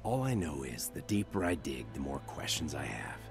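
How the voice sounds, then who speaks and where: An actor playing a calm older male hacker mentor who speaks in a measured, fatherly way in a video game cutscene. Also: extremely low pitch, gravelly, music in the background, heavily acted. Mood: grave. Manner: measured, fatherly, calls him son.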